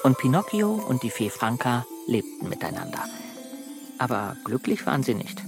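A man narrating a children's radio play, telling the story in a measured way with dramatic emphasis. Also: mid-range voice, big pitch swings. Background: music.

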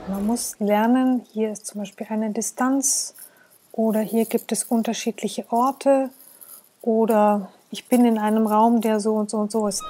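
A woman with a slightly high, very mellow voice gives an explanatory interview. She works through her points with examples and questions she poses herself. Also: steady tone, a little slow, slight background noise.

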